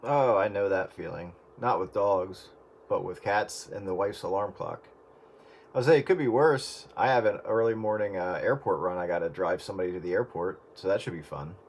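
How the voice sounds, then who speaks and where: A man's voice: deep voice, normal speed. A man chatting casually as an amateur radio operator over the air. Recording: very noisy radio transmission.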